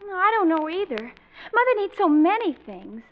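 An eager, girlish teenage daughter, extremely high in pitch with lively swoops. She sounds earnest in classic radio sitcom style, on a dull vintage recording. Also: moderate pace. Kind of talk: 1940s radio sitcom acting.

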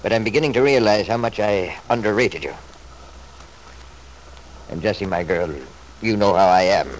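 A man's voice: on the low side, moderate pace, lightly acted. An actor playing a stubborn, selfish middle-aged father in an old-time radio soap, blustering and self-justifying in an emphatic way. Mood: confiding, dismissive. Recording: noisy vintage radio drama recording.

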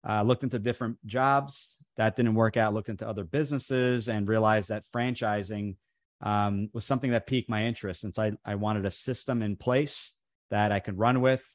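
A man's voice: lowish voice, fairly even intonation, moderate pace, no echo, clean studio sound. A man whose first language was Italian, a franchise coach telling his background in a casual interview, with many fillers and restarts. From New Jersey, USA. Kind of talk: casual interview over video call.

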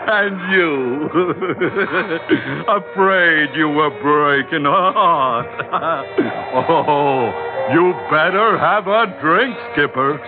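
An actor playing a brash, hearty first mate in an old radio seafaring adventure, given to bluff banter. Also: male voice, a little high, extremely slow. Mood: teasing. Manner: hearty, bantering, barks orders. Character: brash, hearty, teasing male first mate on sailing ship. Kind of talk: 1940s radio adventure acting. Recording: muffled old radio recording with music.

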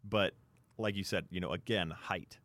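A man with a low voice co-hosts a sports show. He gives conversational analysis, feeling his way through sentences with many verbal fillers. The sound is close and dry. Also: steady tone, moderate pace, fairly bright tone, dry recording.

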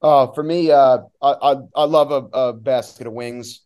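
A man's voice: medium pitch, fairly even intonation, moderate pace, a little mellow, smooth. A man giving casual, opinionated sports analysis as an interview guest, in an informal conversational way. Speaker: guest, soccer play-by-play announcer.

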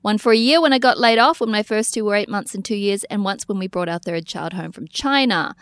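Lively laughing woman podcast host. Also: mid-range voice, a little fast, no room sound. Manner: animated, laughing, reads listener letters aloud. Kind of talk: casual chat.

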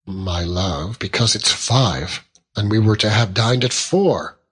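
A man voicing a fond, earnest young Victorian husband who gently reproves and reasons, in a storybook reading. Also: on the low side, slow, breathy edge, heavily acted. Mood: tender, prodding.

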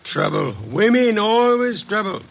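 An actor playing a bulky, ponderous, grumpy genius detective, pompous and sardonic, in a 1940s radio drama. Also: male voice, high voice, lively intonation, a little slow, vocal fry, heavily acted. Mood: sardonic, dismissive.

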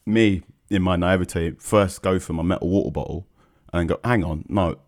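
A man's voice: sits low, moderate pace. A man from England telling a travel story on a podcast as a guest, acting out the people he quotes. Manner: animated, acts out quoted people in his stories. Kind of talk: casual storytelling.

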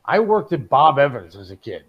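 A man's voice: medium pitch, sing-song, moderate pace, breathy edge, lo-fi sound. A middle-aged American man co-hosting a talk show, griping casually and emphatically, with some swearing. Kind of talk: casual, opinionated chat.

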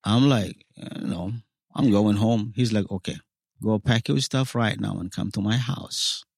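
A man's voice: lowish voice, a little slow, vocal fry, a little mellow, slightly rough, dry recording, studio quality. A man in his forties from South Sudan, a guest in an interview, telling his own story earnestly and acting out what others said to him. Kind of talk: personal testimony in interview.

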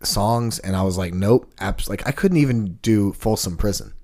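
A man with a low voice talks in a lively, storytelling way. He acts out the lines of the people in his stories. The chat is casual and quick. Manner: animated storyteller, acts out quotes.

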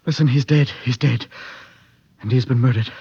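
An actor playing a distraught, evasive murderer under police questioning in 1950s radio drama, speaking haltingly and repeating himself. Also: male voice, mid-range voice, fairly even intonation, warm tone, slightly rough. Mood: anguished confession. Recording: dull vintage radio recording.

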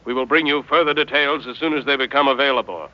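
An actor plays a wartime-era radio newsman delivering an urgent bulletin. His middle-pitched voice is formal and measured. The sound is that of a dull old broadcast. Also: close to an everyday voice. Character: urgent, formal 1940s radio news announcer. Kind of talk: 1940s radio news bulletin acting.

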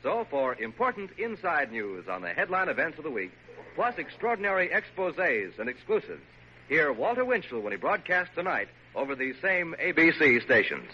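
A man's voice: fairly high pitch, very animated, moderate pace, lo-fi sound. An American man announcing in an old-time radio promotional style, emphatic and polished. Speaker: network announcer. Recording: noisy, band-limited old radio recording.

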